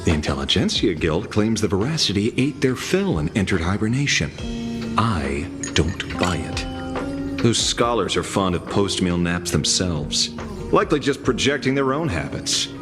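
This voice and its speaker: A man's voice: low voice, big pitch swings, normal speed, over music. A man playing a world-weary, skeptical former ranger in a video game, wry and laconic. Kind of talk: scripted video game dialogue.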